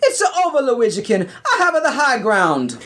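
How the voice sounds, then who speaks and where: Shrill heroic Jedi plumber. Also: male voice, wildly swooping pitch, normal speed, a bit bright, full character voice. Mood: triumphant warning. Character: heroic Mario-style Jedi master, anguished and high-voiced. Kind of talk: parody audio play acting.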